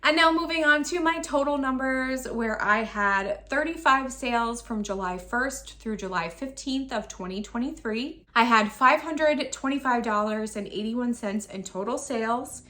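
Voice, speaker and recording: A woman in her thirties who is losing her voice and sounds raspy, running through her online resale sales in a matter-of-fact, item-by-item way. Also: fairly high pitch, normal speed, few pauses, pushed and bright. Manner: itemized, matter-of-fact listing. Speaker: online reseller. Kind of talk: sales recap vlog.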